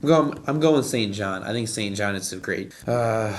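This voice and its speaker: A man hosting a podcast, talking casually through his basketball bracket picks with a voice gone hoarse from allergies, often correcting himself. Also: lowish voice, lively intonation, moderate pace, a little hiss or hum. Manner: casual, self-correcting, repeats "I'm going". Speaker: podcast host. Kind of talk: solo sports bracket breakdown.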